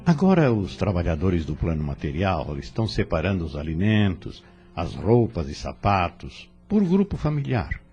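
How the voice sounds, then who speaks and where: Audio drama spirit teacher, kindly and solemn male actor, Brazilian, deep voice, faint music underneath. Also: a little mellow. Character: calm, kindly male spirit instructor, earnest and devout. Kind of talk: didactic audio drama dialogue.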